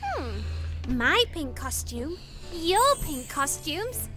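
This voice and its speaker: A woman voicing an eager, imaginative young female ostrich, sweet and earnest, in a children's animated story. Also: sits high, drawn-out words, over loud music, exaggerated voice.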